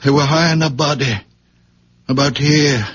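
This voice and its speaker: An actor playing a very old man, a humble healer who speaks haltingly and plainly, in 1970s radio drama style. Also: on the high side, fairly even intonation, fairly bright tone, husky. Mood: quiet, insistent. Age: older. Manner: halting, plain-spoken, repeating words. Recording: muffled, very noisy old radio broadcast.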